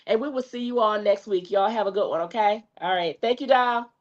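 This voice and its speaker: A woman hosting a live talk show interview, friendly and quick to laugh, sounding stuffy from a cold. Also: mid-range voice. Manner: friendly, laughs often, effusive thanks. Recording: dull lo-fi stream audio.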